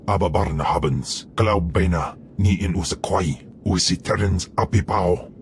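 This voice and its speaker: An actor voicing an ominous, hungry alien creature in a video game, solemn, with guttural alien speech. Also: male voice, low-pitched, normal speed, slight background noise, lightly acted. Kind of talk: video game character acting.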